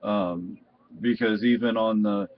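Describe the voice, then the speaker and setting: A man giving a casual, unscripted product review in a halting, rambling way. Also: fairly low pitch, fairly even intonation. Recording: dull, muffled lo-fi sound.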